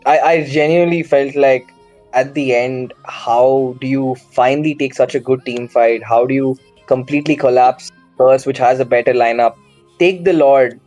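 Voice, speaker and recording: A man casting a live esports match, asking pointed questions about the plays, his voice worn from shouting. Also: medium pitch, no room sound, rough recording. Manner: questioning, incredulous analysis. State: strained voice after shouting. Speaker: esports caster.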